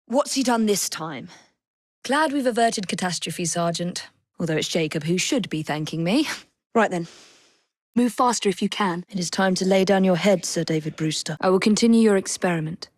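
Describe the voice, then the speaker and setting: A woman's voice: lowish voice, normal speed, no room sound, lightly acted. An actress voices a young Victorian lady assassin for a video game. She is English, determined and poised, with formal wording and a dry wit. The recording is very dry and close, with no room sound. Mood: dry, teasing. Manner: crisp, formal period phrasing, dry wit. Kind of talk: video game character voice lines.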